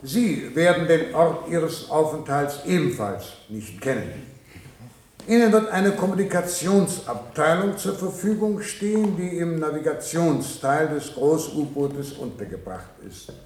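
Formal project director in echoing room. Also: male voice, a little high, expressive, a little mellow. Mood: formal, authoritative. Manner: formal, measured, lecturing. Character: authoritative male project director, smoothly evasive, bureaucratic. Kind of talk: 1970s German radio drama acting, formal address. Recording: roomy echo of 1970s radio play.

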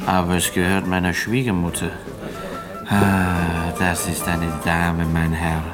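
An actor in a German radio play as a self-pitying, down-and-out failed student, rambling and resigned. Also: male voice, deep voice, little pitch movement, a little slow, music in the background. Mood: bitter, self-pitying.

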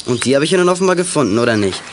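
A man's voice: medium pitch, very animated, racing, close to an everyday voice. An actor playing a bold, cunning teenage boy detective in a youth radio play, cheeky and self-assured. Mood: cocky bluffing. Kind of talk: 1980s German youth radio play acting. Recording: noisy old radio play recording.

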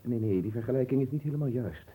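An actor playing a pompous, absent-minded marquis who muses aloud theatrically in a radio play. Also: male voice, medium pitch, fast, mellow, breathy edge, natural voice, low-quality recording. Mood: fretful musing.

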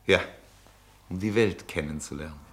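An actor playing a laconic, enigmatic traveller in a German radio drama, terse and reserved. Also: male voice, low voice, normal speed, close to an everyday voice.

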